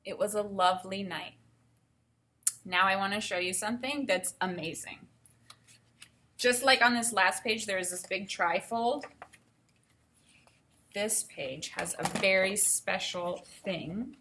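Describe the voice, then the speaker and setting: Bright-voiced woman teacher reading aloud to children. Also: mid-range voice, unhurried, pauses often. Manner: enthusiastic, explaining to children. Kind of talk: expressive children's read-aloud with instructional asides.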